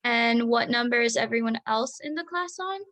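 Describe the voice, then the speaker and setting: A woman playing a stressed, defensive doctor mother in a staged drama reading, with a forceful, insistent manner. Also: fairly high pitch, expressive, normal speed. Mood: confused, pressing.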